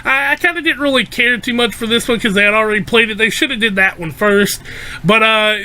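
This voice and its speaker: A man casually reviewing an anime episode, rambling with fillers while his voice is going hoarse. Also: sits high, a little fast, sharp tone. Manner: loose and rambling, many fillers and restarts. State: losing his voice.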